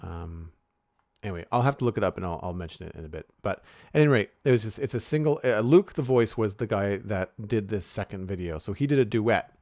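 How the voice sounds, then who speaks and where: A man's voice: lowish voice, lively intonation, brisk, darkish tone, dry recording, studio quality. A man co-hosting a podcast, chatting casually and rambling with many restarts and fillers. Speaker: podcast co-host.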